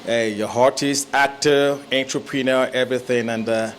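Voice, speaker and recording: A man's voice: mid-range voice, normal speed, slight background noise. Chuckling Nigerian-born male musician guest. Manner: relaxed, chuckling, many fillers and restarts. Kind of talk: casual interview chat.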